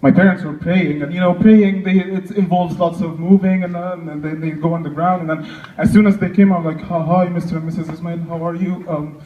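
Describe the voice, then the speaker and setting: A young man doing conversational stand-up comedy, halting and self-deprecating, acting out short exchanges. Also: fairly high pitch, steady tone, far from the mic. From Egypt. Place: live stand-up show in an echoey room. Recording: dull, echoey live room recording.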